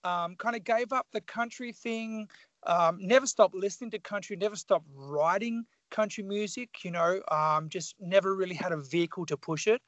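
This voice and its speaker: A man in his forties with an Australian accent, a guest on a radio interview, telling his story in a chatty, animated way full of fillers. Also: high-pitched, normal speed, no echo, highs cut off. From Tasmania, Australia. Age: 40s. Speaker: guest musician.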